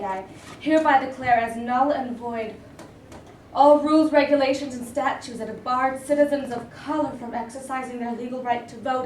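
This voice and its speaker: A woman playing a defiant, sharp-tongued activist. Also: high voice, slight background noise, natural voice. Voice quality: bold, cutting manner. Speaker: actor in a staged reading. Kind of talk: stage play reading. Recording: roomy hall from a distant mic.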